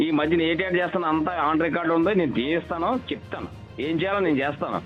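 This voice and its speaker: A man's voice: a little high, quick pace, faint music behind. A man arguing heatedly on a phone call in an aggressive, repetitive, hectoring manner. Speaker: local political leader. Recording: tinny leaked phone call.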